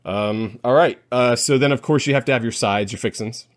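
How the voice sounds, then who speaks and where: A man hosting a casual panel chat, speaking in a rambling, halting way as he thinks aloud. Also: mid-range voice. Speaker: podcast host.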